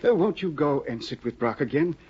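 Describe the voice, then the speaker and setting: A man's voice: on the high side, animated, a little fast, husky. An actor playing Tessman, a fussy, doting scholar husband who hesitates and fusses, in a 1950 radio drama. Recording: dull lo-fi 1950 radio broadcast.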